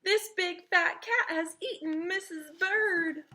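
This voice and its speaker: A woman's voice: extremely high pitch, slow pace, pushed and bright, pitched above the performer's own voice, exaggerated voice. A woman voicing a worried, devoted male bird in a children's picture book read-aloud. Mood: tearful dismay.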